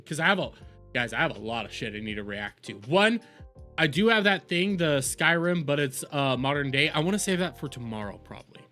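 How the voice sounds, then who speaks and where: A man's voice: a little high, fairly bright tone. A man doing casual live-stream commentary, rambling, repeating himself and swearing freely. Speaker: streamer reacting to videos.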